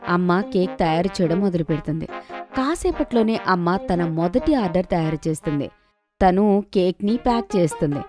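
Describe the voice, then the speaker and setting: A woman's voice: fairly low pitch, animated, moderate pace, music in the background. A woman narrator reading a scripted children's story.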